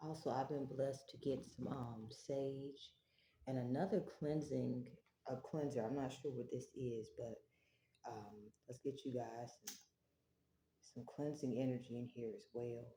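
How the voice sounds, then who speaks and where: Low-voiced woman giving a tarot reading. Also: steady tone, reverberant, background noise. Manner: slow, prayerful, soft-spoken. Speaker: tarot reader. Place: home, early morning.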